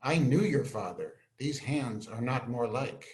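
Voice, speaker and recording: An earnest young scholar and loyal friend to a prince, read by an amateur male actor, speaking in a mid-range voice. He delivers Shakespearean verse in a measured, respectful way, as in a staged reading. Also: mid-range voice, lively intonation, a little mellow, lightly acted. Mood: earnest, awed. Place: indoors, on a video call. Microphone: video call.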